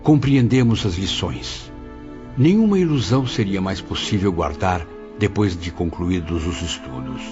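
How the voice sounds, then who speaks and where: Actor as a low-voiced, solemn spirit memoirist. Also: male voice, animated, unhurried, muffled recording, music in the background. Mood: solemn recollection. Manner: solemn, literary. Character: solemn, sorrowful male spirit telling his memories. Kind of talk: dramatised audiobook narration.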